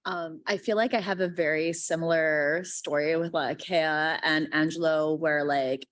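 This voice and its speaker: A woman's voice: low voice, fairly even intonation, unhurried, dry recording. A woman in her thirties from Guam, telling her story on a panel in a chatty way, often saying "like" and acting out quoted lines. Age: 30s. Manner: chatty, heavy use of "like", acts out quoted lines.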